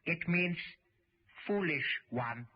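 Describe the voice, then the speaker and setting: Strict japanese karate teacher, slow n husky, old radio drama, muffled. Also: male voice, fairly high pitch, animated, full character voice. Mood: stern, calm. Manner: deliberate, clipped, sententious. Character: stern, measured male Japanese karate master. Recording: muffled vintage radio recording.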